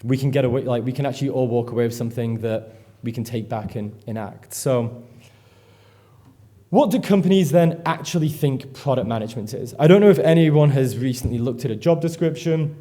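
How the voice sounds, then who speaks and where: A mellow-voiced, foul-mouthed man. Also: medium pitch. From England. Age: 30s. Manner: swears freely, rambling and self-deprecating. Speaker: conference speaker. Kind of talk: live conference talk. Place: conference stage before an audience.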